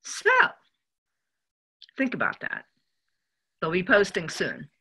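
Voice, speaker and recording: A woman's voice: on the low side, wildly swooping pitch, takes it slowly, sharp tone, husky. A woman hosting a show in a casual conversational style. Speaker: show host.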